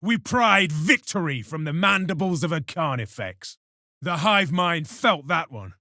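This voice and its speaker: A man's voice: fairly high pitch, expressive, measured pace, sharp tone, no echo, studio quality, full character voice. An actor playing a solemn, proud space marine who declaims his lines in a video game. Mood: grim contempt. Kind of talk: video game combat barks.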